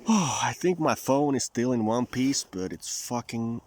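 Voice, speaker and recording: A man's voice: mid-range voice, expressive, unhurried, darkish tone. A man from Finland who speaks English with a Southern US-tinged accent, rambling casually and in a folksy, profane way in a vlog. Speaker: vlogger.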